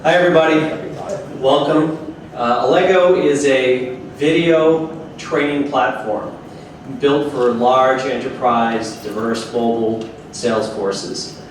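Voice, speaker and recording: Man, company rep, brisk pitch-style intro, mid-range voice, far from mic, heavy echo, noise. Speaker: company representative introducing the speaker. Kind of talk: brief on-stage company intro. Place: large open office event space with audience. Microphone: handheld mic heard from a distant camera. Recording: echoey room from far off with noise.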